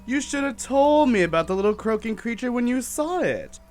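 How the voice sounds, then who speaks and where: A man's voice: extremely high pitch, animated, moderate pace, darkish tone. A man playing a wry, slightly smug linguist on a space crew in a radio drama. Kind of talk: audio drama acting. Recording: music with guitar behind.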